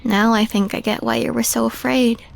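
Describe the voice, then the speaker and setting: A woman playing a guarded but caring alien woman in an audio roleplay drama, speaking in a halting, tentative way. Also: a little high, fairly even intonation, gravelly. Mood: thoughtful.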